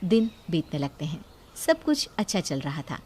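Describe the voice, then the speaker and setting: A woman's voice: deep voice, very animated, normal speed, a little mellow, slight background noise. A woman storyteller reading a scripted moral story as narrator.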